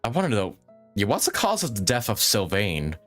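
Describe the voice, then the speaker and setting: A man reacts to a game on a live stream. His talk is informal and sweary as he thinks out loud, his pitch moving in a lively way, with quiet music behind. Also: a little fast. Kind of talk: casual live gameplay reaction.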